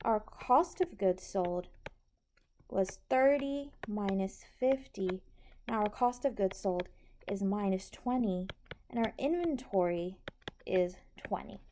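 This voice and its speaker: A woman's voice: mid-range voice, slow pace, mellow, slight background noise. A woman instructor patiently explaining a tutorial step by step, often checking that the listener is following. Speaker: accounting instructor.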